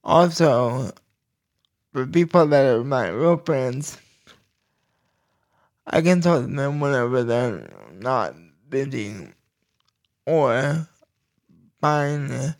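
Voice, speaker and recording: Slow, halting man with a creaky voice. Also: medium pitch. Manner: halting, deliberate phrasing in short bursts. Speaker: podcast host. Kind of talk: personal talk to listeners.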